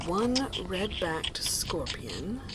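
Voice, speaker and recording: A woman's voice: lowish voice, very animated, drawn-out words, over music, exaggerated voice. A woman playing a vengeful, doting sorceress in a fantasy audio drama, theatrical and sweetly sinister. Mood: doting, gloating. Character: vengeful, doting evil sorceress who forges cursed weapons.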